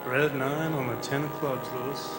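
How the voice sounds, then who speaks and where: A man acting a sombre, weary lawyer in a radio drama, subdued and deliberate. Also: medium pitch, steady tone, lightly acted. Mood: weary, regretful. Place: performing arts center stage. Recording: echoey stage hall, music behind.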